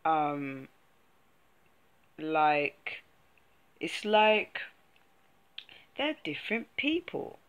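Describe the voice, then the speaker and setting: Young man, slow unhurried delivery, hesitant and frank, lots of ums, casual vlog. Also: a little high, sing-song, fairly bright tone, smooth. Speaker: vlogger. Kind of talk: casual personal vlog talk.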